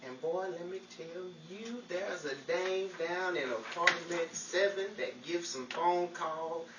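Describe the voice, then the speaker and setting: A man's voice: high-pitched, takes it slowly. A man playing a hapless, wisecracking amateur sleuth in a 1940s-style radio comedy, flustered, stammering and pleading. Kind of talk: 1940s old-time radio comedy acting. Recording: echoey, distant, noisy live room with crowd.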